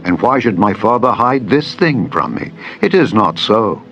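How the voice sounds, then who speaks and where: A man voicing a loyal, solemn prince and devoted friend in a dramatized scripture reading, with measured archaic oaths. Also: fairly low pitch, sing-song, a bit bright, exaggerated voice. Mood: reassuring. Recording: dull lo-fi audio with music. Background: background music.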